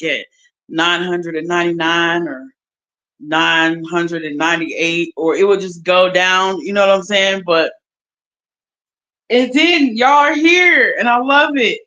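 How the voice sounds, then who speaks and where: A young adult woman, chatting casually on a livestream, recovering from strep throat, drawing words out with slang and loud bursts. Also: medium pitch. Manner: slow, drawn-out talk with loud bursts and slang. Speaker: YouTube streamer.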